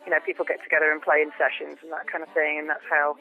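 Low-voiced woman on a tinny phone line. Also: little pitch movement, fast. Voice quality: low female voice. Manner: chatty, repeats words while thinking. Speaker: folk musician guest. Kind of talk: phone interview chat. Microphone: telephone.

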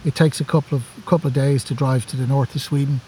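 An Irish man talks eagerly and casually, his voice mellow and soft-edged. He often repeats words as he gathers his thoughts. A faint outdoor ambience sits behind him. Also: mid-range voice, steady tone, fast talker, slight background noise. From Ireland. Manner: enthusiastic, repeats words while thinking. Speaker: guest, forestry worker. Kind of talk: casual podcast conversation. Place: outdoors among camping gear.

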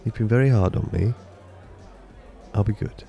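Nervous irish guy on a date, lowish voice with lots of fry, awkward self-mocking jokes. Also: moderate pace, warm tone, dull audio, a faint crowd. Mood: self-deprecating. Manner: hesitant, joking. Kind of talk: audio drama acting, naturalistic dialogue.

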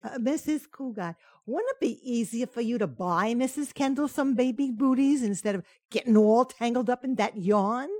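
A woman's voice: fairly high pitch, normal speed, hardly any pauses, darkish tone, no room sound, studio quality, lightly acted. A woman playing a naive but cheeky household maid in an old-time radio sitcom, with guileless comic questions and remarks. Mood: puzzled, guileless.

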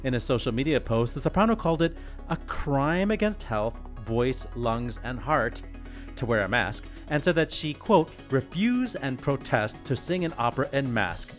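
A man co-hosting a podcast, reading scripted news items in a wry, expressive way. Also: mid-range voice, normal speed, faint music behind. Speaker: podcast co-host.

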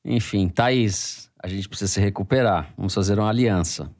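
A Brazilian man hosting a political podcast in a joking, teasing way. He reads listener emails aloud and trades banter with his co-hosts. His voice sits at an ordinary pitch, recorded close and dry with no room sound. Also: normal speed, a little mellow, no echo. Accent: Brazilian Portuguese. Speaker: podcast host. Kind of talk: casual panel chat, reading listener mail.

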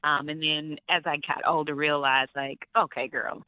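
Casting note: young actress, animated storyteller, smooth voice on a tinny phone line. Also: female voice, deep voice, moderate pace, no room sound. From Atlanta, Georgia. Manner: lively storytelling, laughs often. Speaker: actress, interview guest. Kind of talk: interview on the phone.

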